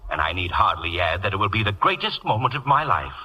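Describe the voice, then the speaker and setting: An actor playing a stern, affronted middle-aged householder in an old radio play, speaking with stiff, emphatic formality. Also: male voice, lowish voice, sing-song, fast, bright, forceful tone, husky, exaggerated voice, low-quality recording. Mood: coldly triumphant. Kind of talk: 1940s-50s radio play acting.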